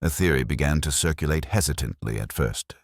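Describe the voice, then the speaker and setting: A middle-aged man reading a scripted comic mystery story in a dry, measured, wryly grave manner. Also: very deep voice, expressive, vocal fry, no room sound. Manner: dry, measured, wry mock-gravity. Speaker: narrator.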